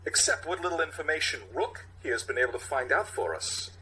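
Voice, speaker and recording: A man acts out a theatrical police captain addressing his squad in a cop-show parody. The voice is very bright and forceful, lifted in pitch, with halting delivery and odd stresses that swing up and down. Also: expressive, a little hiss or hum, poor audio. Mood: grave, halting. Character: police captain, male, theatrical, halting, folksy leader, movie-star impression. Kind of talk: mock cop show script reading.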